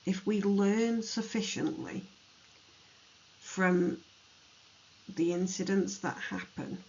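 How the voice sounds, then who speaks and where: Gravelly, slow-speaking podcast host. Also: mid-range voice, highs cut off. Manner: slow, thoughtful, with many pauses and ums. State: gravelly, hoarse from allergies. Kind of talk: educational true-crime narration.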